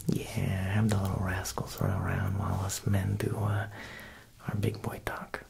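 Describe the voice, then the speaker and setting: A young man playing a smooth, quietly menacing Italian mob boss tailor in an ASMR roleplay, speaking softly and unhurriedly at a close mic, with insinuating pauses. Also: low-pitched, monotone, noisy background. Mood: probing, sly. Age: young adult. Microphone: close ASMR microphone.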